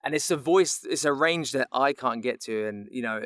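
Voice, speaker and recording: A man's voice: mid-range voice, normal speed, a bit bright, dry recording. Man rambling effusively, dry booth sound. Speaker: guest voice actor and writer. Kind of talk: casual podcast chat.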